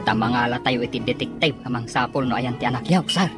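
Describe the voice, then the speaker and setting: A man playing an eager, deferential assistant who gently probes his boss in an audio drama. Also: mid-range voice, fast talker, close to an everyday voice. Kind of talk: Ilocano audio drama dialogue. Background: clear background music.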